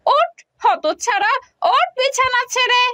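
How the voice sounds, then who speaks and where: A woman playing a sarcastic, dramatic village mother from a folk-tale cartoon, scolding in drawn-out phrases. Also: extremely high pitch, lively intonation, pushed and bright, dry recording, clean studio sound, full character voice.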